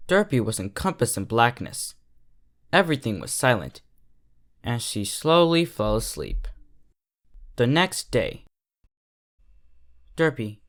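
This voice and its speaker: A man's voice: mid-range voice, sing-song, measured pace, pauses often. A man reading a story aloud as scripted narration. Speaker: fan fiction reader.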